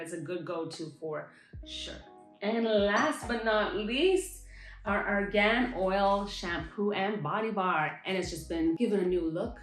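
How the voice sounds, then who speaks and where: Upbeat woman chatting about hair products, echoey room, faint music behind. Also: lowish voice. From Montreal, Canada. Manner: chatty, enthusiastic, talks straight to viewers. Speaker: henna hair care specialist and shop owner. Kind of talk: product showcase vlog. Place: her salon and shop space. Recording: roomy space with light music.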